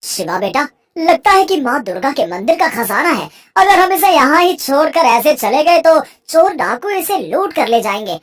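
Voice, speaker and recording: An actor plays a scheming sorcerer in a cartoon folk tale, posing as a sweet-talking uncle. The voice is pitched high and swings about widely, coaxing and persuading with an ingratiating manner. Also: big pitch swings, moderate pace, few pauses. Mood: sly coaxing. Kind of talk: animated Hindi moral story acting.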